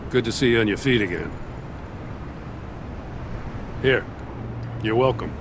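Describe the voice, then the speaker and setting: An actor playing a weary, decent but stubborn police chief in a video game, gravelly, plain-spoken and earnest. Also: male voice, medium pitch, brisk, noisy background, lightly acted. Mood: sympathetic.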